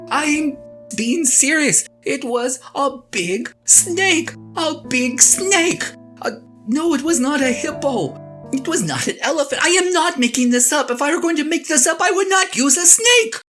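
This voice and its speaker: A woman's voice: high-pitched, sing-song, a little slow, fairly bright tone, full character voice. A woman playing a flustered, self-doubting shepherd husband in an audio drama, stammering earnestly as the character confides in a spouse. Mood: agitated, insistent. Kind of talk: one-sided audio drama monologue, conversational and comic. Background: plucked guitar music.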